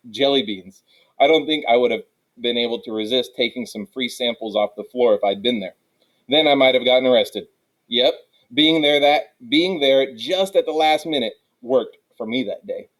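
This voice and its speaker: A male storyteller reads a children's chapter book aloud in a medium-pitched voice. His delivery is expressive, shaped for young listeners following a narrative. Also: rough recording.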